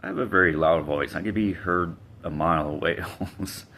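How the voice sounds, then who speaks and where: A man's voice: low-pitched, a little fast, bright, forceful tone. A man casually telling a personal story, hesitant, with fillers and restarts.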